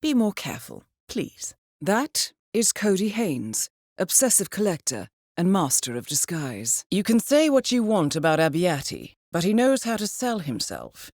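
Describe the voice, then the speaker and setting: A cool, poised female mission handler from a stealth video game. She delivers briefings in a formal, measured way with dry understatement. Her intonation moves expressively, and the recording is close and dry. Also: lowish voice, wildly swooping pitch, a little mellow, dry recording, heavily acted. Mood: composed, dry.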